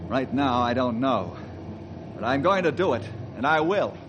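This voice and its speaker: A man playing a steadfast, fair-minded ranger in an old-time radio western, speaking earnestly and deliberately. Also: mid-range voice, sing-song, muffled recording, very noisy, close to an everyday voice. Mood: resolute.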